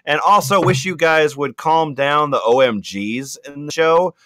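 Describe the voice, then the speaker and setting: A man reading posts aloud for comedy in a halting way, with casual asides and amusement in his delivery. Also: fairly high pitch, a bit bright, dry recording. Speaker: reader and host on a comedy podcast.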